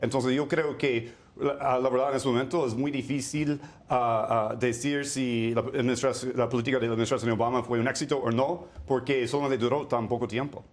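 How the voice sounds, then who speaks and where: A man who is a policy consultant and guest in a studio news debate, speaking in a measured way and often searching for words. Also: medium pitch, steady tone.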